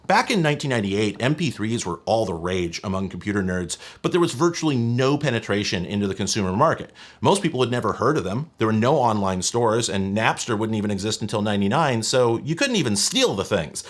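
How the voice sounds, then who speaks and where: A man's voice: fairly low pitch, very animated, fairly quick, hardly any pauses. A man in his thirties hosting a tech history video, reading scripted narration in a conversational way with wry, casual asides. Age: 30s. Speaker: host of a tech history show.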